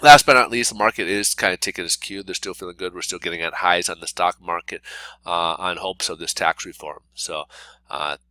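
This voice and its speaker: A man's voice: low-pitched, a little fast, sharp tone, no echo. Stuffy-nosed guy rambling through a daily market update, lots of uhs, dry mic, no room sound. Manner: casual, rambling, many fillers and restarts. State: congested from a cold. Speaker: mortgage planner giving a daily market report. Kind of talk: unscripted solo market commentary over slides.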